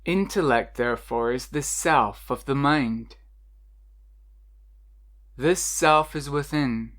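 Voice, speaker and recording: A meditation guide narrating a scripted guided meditation in a measured, instructional way with deliberate pauses. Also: medium pitch, a bit bright.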